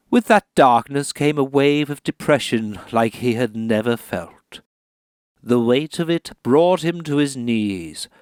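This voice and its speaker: A man's voice: mid-range voice, no echo, studio quality. A man playing an earnest, morbid author in a radio comedy sketch, solemn when reading and hesitant when questioned. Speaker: author reading his book on a radio programme.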